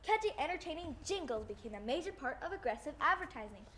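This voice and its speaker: A woman's voice: sits high, very animated, fast talker, fairly bright tone. A young teenage girl playing a theatrical, earnest co-host in a scripted old-time radio show. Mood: earnest, presentational.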